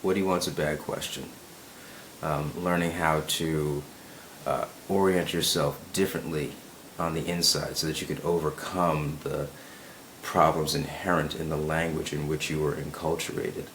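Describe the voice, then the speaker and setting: A man's voice: rumbling low, little pitch movement, normal speed, a bit bright, a little hiss or hum, low-quality recording. A man talking in a casual, musing monologue, often checking in with "right?" and repeating words as he thinks. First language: English. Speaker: self-help video host.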